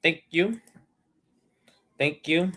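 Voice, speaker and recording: A man's voice: a little high, very slow, a bit bright. A young man chatting casually on his livestream, rambling and restarting his sentences. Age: young adult.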